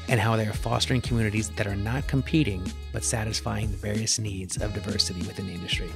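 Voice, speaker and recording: A man hosting a design podcast, reading a scripted introduction and then asking a guest questions in a lively conversational way. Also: on the low side, creaky. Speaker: podcast host. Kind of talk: podcast interview.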